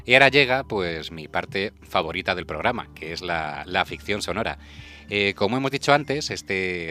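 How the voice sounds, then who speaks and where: A man's voice: lowish voice, pushed and bright. A man with an accent from Spain, hosting a casual radio chat show. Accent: Spanish (Spain).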